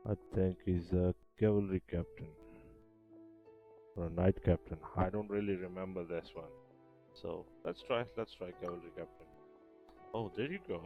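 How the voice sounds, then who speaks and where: A man's voice: low voice, narrow, tinny audio. A man casually commenting while he plays a video game, thinking aloud in an offhand, chatty way. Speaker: gamer. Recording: game music playing behind. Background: game music and sound effects.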